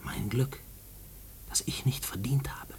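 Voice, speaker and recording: An actor in a post-war German radio play playing a haunted, fatalistic family man, brooding and halting in manner. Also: mid-range voice, steady tone, a little mellow, breathy edge, heavily acted. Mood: hushed dread. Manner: brooding, halting, often dropping to a whisper. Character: haunted, fatalistic husband and father, a murderer awaiting execution.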